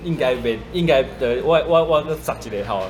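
A man's voice: medium pitch, lively intonation, fairly quick, a little mellow, faint music behind, low-quality recording. A man with a Taiwanese accent hosting a casual podcast chat. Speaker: podcast host. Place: coffee shop. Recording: faint cafe ambience noise.

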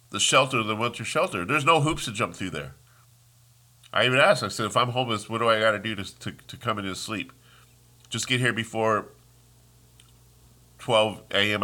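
A man's voice: on the low side, bright, forceful tone. A congested man hosting a solo podcast, talking in a heated, rambling rant with many restarts. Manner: heated, ranting, trails off and restarts. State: congested, sniffling. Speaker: podcast host. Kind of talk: casual solo podcast monologue.

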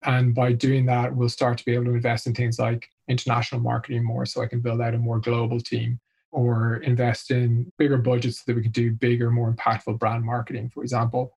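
A man's voice: mid-range voice, completely flat delivery, fairly quick, gravelly, a little mellow, breathy edge. A man from Ireland answering interview questions as a senior marketing executive, in a conversational, explanatory way.

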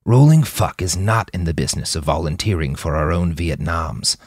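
A man voicing a tough, blunt woman fighter with a deep, gravelly smoker's voice in an audiobook story. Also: lively intonation, normal speed, darkish tone, dry recording, clean studio sound. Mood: blunt, firm.

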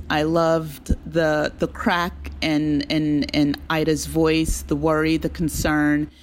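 A woman being interviewed as a drama creator, talking casually with an animated, enthusiastic manner. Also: lowish voice, slight background noise.

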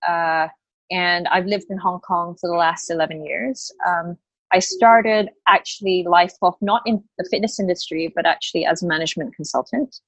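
A woman's voice: lowish voice, steady tone, moderate pace, no room sound, poor audio. A woman in her late thirties who grew up in Australia, a health coach talking in a podcast interview, hesitant with many fillers and restarts. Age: late 30s.

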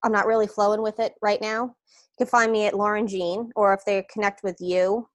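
A woman's voice: mid-range voice, brisk, no echo. New woman, coach guest, laid-back and rambling, dry close recording. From New York. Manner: relaxed, meandering, hesitant with fillers. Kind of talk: casual interview.

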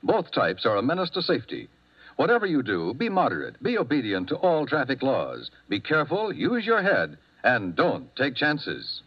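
A man's voice: mid-range voice, huge pitch swings, normal speed, slightly rough. A man announcing a 1950s radio drama in a formal, declamatory way. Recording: dull old radio broadcast.

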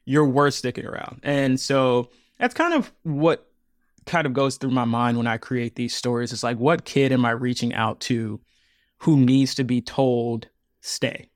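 Earnest man, lively, dry close sound. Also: a little slow, dry recording. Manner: earnest, animated, laughs often, voice jumps high when amused. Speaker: podcast guest, audio storyteller. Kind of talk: reflective interview talk.

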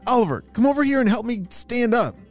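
A storyteller voicing an excitable, wide-eyed young boy spy in a children's adventure tale. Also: medium pitch, a little fast, faint music behind, pitched above the performer's own voice, close to an everyday voice. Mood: worried. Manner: exclaiming, wide-eyed, dramatic.

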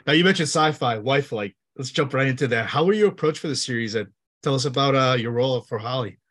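A male host asks his guest upbeat questions at a brisk clip. His voice sits at an ordinary pitch, and he keeps the talk moving with short nods of agreement. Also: fairly bright tone, slightly rough. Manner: brief affirming backchannels, upbeat questions. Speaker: interview host. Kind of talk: casual interview questions.

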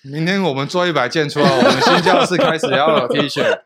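A man's voice: a little high, sing-song, quick pace, a crowd in the background. A man hosting a podcast in a jokey, teasing manner, laughing often. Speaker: podcast host. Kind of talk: casual chat.

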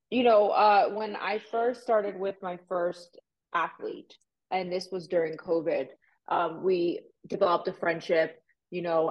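A woman's voice: lowish voice, dull audio. A woman in her thirties, born in Puerto Rico and raised in Miami, talking casually as a podcast guest and telling stories full of "like" and "you know". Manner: chatty, animated, many fillers like "like" and "you know". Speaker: real estate agent, guest. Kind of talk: casual interview storytelling.

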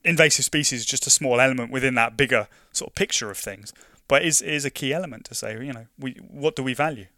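A man's voice: medium pitch, quick pace, few pauses, bright, forceful tone, no echo, studio quality. A young man from London with a London accent, talking casually in an interview, full of restarts and "you know" fillers. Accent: London English. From London, England. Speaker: conservation project worker.

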